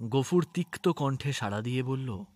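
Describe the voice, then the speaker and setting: A man's voice: medium pitch, expressive, moderate pace, a little mellow, dry recording. A man narrating a scripted story in a dramatic style.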